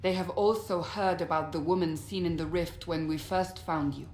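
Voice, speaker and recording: Stern soldier lady in a fantasy game woman acting, lowish voice, formal and firm, echoey room. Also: steady tone, a little mellow, close to an everyday voice. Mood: grave, resolute. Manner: formal, firm, measured delivery. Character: stern, dutiful warrior woman leading a fantasy war council. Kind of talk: video game cutscene acting. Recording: roomy echo, noisy ambience.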